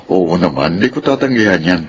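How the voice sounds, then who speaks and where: An actor playing a doting, gently nagging middle-aged father in a radio drama, with a Filipino accent. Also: sits low, lively intonation, normal speed, dull audio. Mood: friendly, relaxed. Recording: faint background noise.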